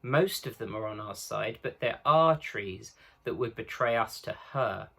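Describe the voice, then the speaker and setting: A man voicing a wary, secretive beaver in a hoarse, throaty whisper for a storybook reading. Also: lowish voice, expressive, a little slow, pushed and bright, full character voice. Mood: hushed, wary. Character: wary, secretive male beaver, furtive and friendly.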